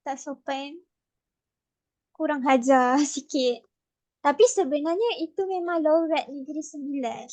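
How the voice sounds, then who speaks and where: A woman's voice: high-pitched, measured pace, long pauses, darkish tone. A woman with a Malaysian accent teaching a casual online Malay class, patient and mixing Malay with English.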